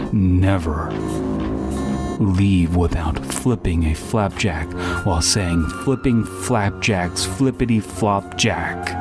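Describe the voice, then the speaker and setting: A man with a very deep voice reads a horror story aloud. His delivery is deadpan and unhurried, with loud music playing beneath him.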